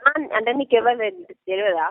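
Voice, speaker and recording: A woman's voice: a little high, fairly quick, noisy background. A woman working as a loan recovery agent on a phone call, scolding and pressing insistently in a confrontational way. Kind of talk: debt collection phone call. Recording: muffled, narrow-band telephone line recording.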